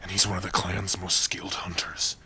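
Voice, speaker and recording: A man playing a protective orc warrior, gruff but tender, in a hushed, halting and intimate ASMR roleplay. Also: level tone. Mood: protective, grim. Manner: slow, hushed, halting, earnest. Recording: faint rain and rumble noise. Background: rain.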